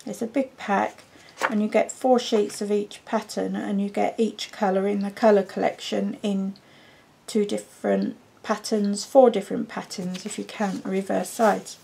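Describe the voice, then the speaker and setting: A woman, croaky from a cold, chatting her way through a card-making tutorial with frequent ums. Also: mid-range voice, flat delivery, moderate pace, warm tone, slight background noise. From United Kingdom. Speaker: craft demonstrator.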